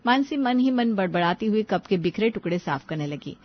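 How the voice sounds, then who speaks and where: A woman's voice: mid-range voice, animated, brisk, muffled recording. A woman reading a scripted family story as its narrator.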